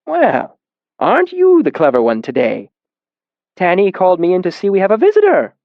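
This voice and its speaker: Brief: male narrator doing a kindly, tired old man, gentle repetitions, bouncy high delivery, dry booth. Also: wildly swooping pitch, normal speed, vocal fry, no echo, phone-quality sound, full character voice. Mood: gentle, soothing. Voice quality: old and tired around the edges, patient at center. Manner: gentle, soothing, repeats words. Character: patient, tired old barefoot man caring for sick children. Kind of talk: audiobook character voice.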